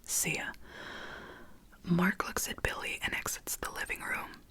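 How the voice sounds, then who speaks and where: A woman's voice: normal speed, bright, forceful tone. A woman whispering her way through a screenplay as ASMR, breaking off for chatty asides. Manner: chatty asides between scene lines.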